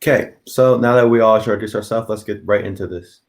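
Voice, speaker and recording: A teenage boy with an American accent moderates an online student discussion. His voice is fairly low, and he sounds a little hesitant, restarting his sentences. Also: steady tone, fairly quick. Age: teens.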